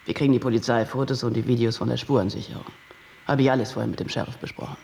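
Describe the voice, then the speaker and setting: An actor playing a pushy, grandiose and excitable TV producer in a German radio play. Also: male voice, rapid-fire, creaky, a little hiss or hum, natural voice. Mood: grandiose pitching. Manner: grandiose sales-pitch talk, emphatic. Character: slick sensationalist TV producer, pushy, easily panicked man.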